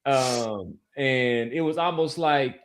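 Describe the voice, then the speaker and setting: A man with a medium-pitched voice co-hosts a sports podcast. His talk is casual and conversational, and he tends to repeat words while working up to a point. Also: slow, a little hiss or hum.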